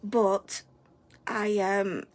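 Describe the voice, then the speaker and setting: A woman, hosting a live stream, a little hoarse and congested from a cold, chatty and upbeat, sometimes stumbling over her words. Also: mid-range voice, little pitch movement, extremely slow. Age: 40s. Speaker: host of a weekly live stream. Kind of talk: live stream chat to viewers.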